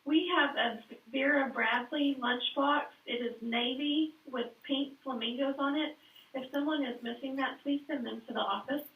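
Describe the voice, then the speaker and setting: Office lady on the intercom, high pitched, sounds tinny and roomy and far off. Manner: polite, brisk. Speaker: school office staff. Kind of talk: intercom announcement. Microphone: school intercom. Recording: tinny echoey intercom from afar.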